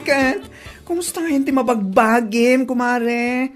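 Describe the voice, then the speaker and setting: A woman's voice: a little high, a little slow, soft background music, natural voice. A woman with an Ilocano Filipino accent playing a teasing, gossipy village friend in a comedy drama. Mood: teasing, chiding.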